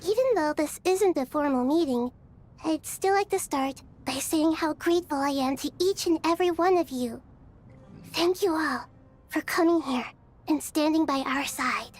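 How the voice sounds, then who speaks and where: A woman voicing a gentle, wise, childlike deity in a video game, soft and youthful, speaking formally and earnestly. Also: high voice, moderate pace, natural voice. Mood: grateful, tender. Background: background music.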